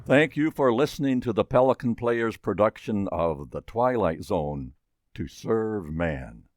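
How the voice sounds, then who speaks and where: Lively low-voiced male announcer. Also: a little slow. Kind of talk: scripted credits announcement.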